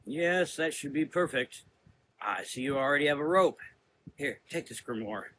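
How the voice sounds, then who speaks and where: Grave, cryptic male adventurer, narrator-performed, gothic horror drama, brooding and formal, bright timbre. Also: fairly high pitch, lively intonation, normal speed, a little hiss or hum, voice pushed up. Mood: matter-of-fact. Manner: solemn, cryptic.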